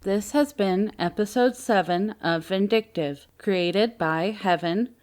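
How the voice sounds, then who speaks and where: A woman's voice: mid-range voice, slow, a little mellow. A woman giving a scripted credits announcement for an audio drama.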